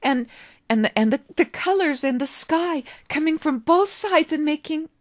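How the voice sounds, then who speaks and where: A woman voicing an earnest, awed young male character in a story, halting and eager as he questions. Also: high voice, animated, pitched above the performer's own voice. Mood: eager, stammering. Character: young David, earnest, hesitant, awed questioner of the old man.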